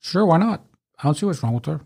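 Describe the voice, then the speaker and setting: Dude rambling thru a casual chat, regular pitch, kinda loose. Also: male voice, lively intonation, fast, gravelly, a little mellow, husky. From South Africa. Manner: rambling, reads messages aloud.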